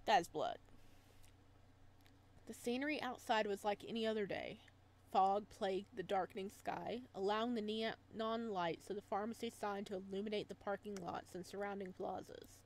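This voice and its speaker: A woman doing a let's play, reading story text aloud and adding expressive, chatty reactions in a casual style. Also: medium pitch, normal speed, a little hiss or hum. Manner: expressive, chatty asides between read passages.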